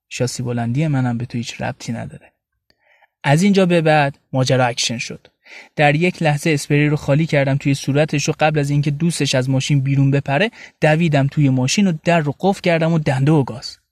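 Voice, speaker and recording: A man's voice: on the high side, dry recording, studio quality, close to an everyday voice. A man narrating an audio story in the first person as a sardonic, feisty young woman, in wry, colloquial storytelling. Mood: defiant. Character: young Tehrani woman, sardonic, feisty, recounting her days. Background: light music bed.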